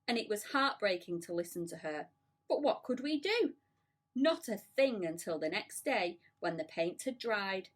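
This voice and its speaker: A woman's voice: medium pitch, lively intonation, a little slow. A woman voicing a melodramatic female spider in a storybook, mournful and emphatic as she recalls sad memories. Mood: dramatic, mournful.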